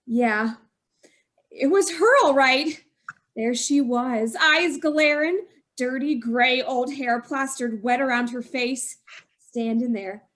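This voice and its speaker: An actress plays a distraught wife in an old-time radio horror drama. Her voice is high and she speaks slowly. She sounds secretive and haunted, repeating words for dramatic weight. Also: fairly bright tone. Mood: haunted, bitter. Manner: dramatic, emphatic repetition, haunted confessional telling.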